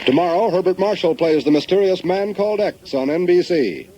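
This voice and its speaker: High-pitched male network announcer, noisy recording. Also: a little fast. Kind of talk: 1950s scripted promo. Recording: noisy, clicking vintage radio broadcast.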